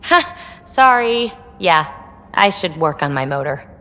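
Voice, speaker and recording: A talkative female explorer from a sci-fi game, her fairly low voice swinging widely in pitch. She is flippant, cheeky and a little secretive, with long reverb around her. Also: sing-song, measured pace, fairly bright tone. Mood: breezy, amused. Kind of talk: video game character dialogue.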